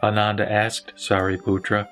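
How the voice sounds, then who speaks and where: A man with a low voice narrates sacred scripture. His delivery is measured and solemn, with faint instrumental music underneath. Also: completely flat delivery, fairly bright tone. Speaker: narrator and reciter of a Buddhist sutra.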